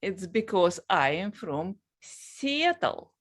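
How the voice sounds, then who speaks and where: A woman from Russia with a Russian accent, telling a personal story as a guest speaker in a halting, deliberate way. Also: on the low side, big pitch swings.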